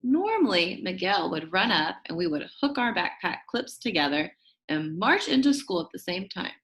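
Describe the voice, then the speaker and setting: A woman reading a children's book as a shy, quirky, upbeat school kid, chummy and expressive with dramatic emphasis. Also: on the low side, normal speed. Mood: chatty, earnest. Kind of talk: first-person children's book narration.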